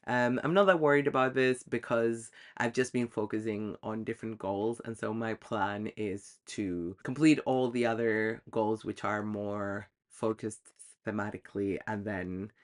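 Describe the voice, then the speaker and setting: A man's voice: lowish voice, fairly even intonation, unhurried. A man talking casually to camera about his reading goals, chatty and self-deprecating, with frequent ums. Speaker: book vlogger.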